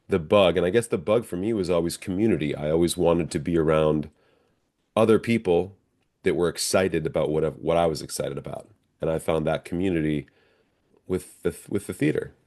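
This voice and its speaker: Deep-voiced American man, reflective. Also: moderate pace, darkish tone. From northern Minnesota, United States. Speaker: interview guest, professional stage actor. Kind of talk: conversational interview answers.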